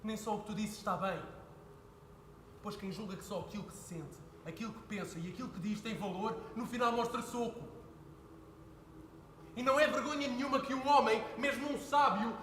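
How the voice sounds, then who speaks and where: A young man playing an earnest son who pleads with his father, building to heated shouting. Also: extremely high pitch, moderate pace, a little hiss or hum. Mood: pleading. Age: young adult. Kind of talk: stage play performance. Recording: echoey hall with faint ambience.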